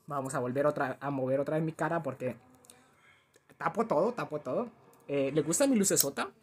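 A man's voice: fairly high pitch, a little fast, breathy edge. A young man with a Latin American accent giving casual, slangy, playfully dramatic reaction commentary. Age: young adult. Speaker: gaming channel host reacting to a video. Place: small room at home. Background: music.

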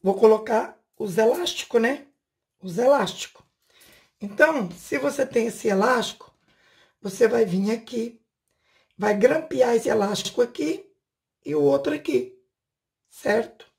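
A woman's voice: on the high side, lively intonation, unhurried, vocal fry, long pauses, darkish tone. A woman, casually explaining a home craft step by step while she works, asking the viewer questions as she goes. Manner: chatty, talks while working with her hands, asks the viewer questions. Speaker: craft channel host. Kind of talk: casual hands-on tutorial. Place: home room, indoors.

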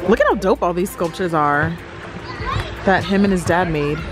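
A woman in her thirties chatting playfully in a casual vlog, excited and full of slang. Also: fairly low pitch, moderate pace, over loud music. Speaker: vlogger.

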